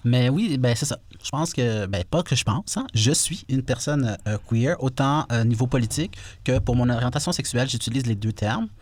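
A young man with a Quebec French accent, talking casually on radio with relaxed fillers. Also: medium pitch, moderate pace, few pauses. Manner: relaxed, frequent "euh" fillers. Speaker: guest co-host.

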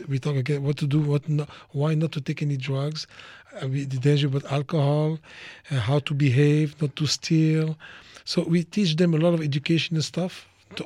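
A man's voice: on the high side, mellow, dry recording, clean studio sound. A man in his fifties from Ivory Coast, answering as an interview guest in short, simple, often rephrased phrases. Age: 50s. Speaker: chief of police, interview guest. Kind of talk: plain-spoken explanation in an interview.

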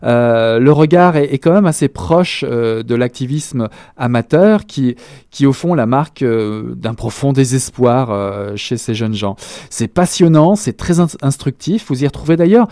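A man's voice: mid-range voice, lively intonation, unhurried, dark tone, no echo, studio quality. A man co-hosting a radio book show, reviewing crime novels in casual chat, hesitant with many fillers and restarts, joking about his own pronunciation.

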